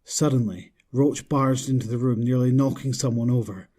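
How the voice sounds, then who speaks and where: A man's voice: mid-range voice, moderate pace, mellow. A man narrating a scripted horror drama in a dramatic storytelling style.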